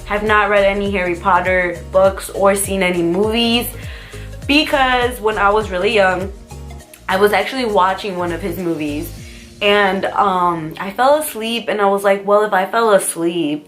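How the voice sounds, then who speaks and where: Young woman, from the US, casual vlogger, rambling chatter, filler words, soft music behind. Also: mid-range voice, normal speed. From United States. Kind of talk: casual tag video chat.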